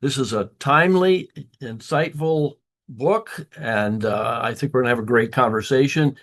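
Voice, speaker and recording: A man's voice: mid-range voice, normal speed. An older man who is a grandparent, hosting a monthly conversation series and interviewing a guest with frequent hesitations and fillers. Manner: warm, hesitant with many fillers. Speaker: host.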